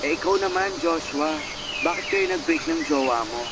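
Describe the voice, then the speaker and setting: A man's voice: on the high side, animated, normal speed, very noisy, close to an everyday voice. An actor playing a nosy, playful young male friend who prods and teases in slangy audio drama dialogue. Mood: playful teasing. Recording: voice under loud music. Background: loud background music.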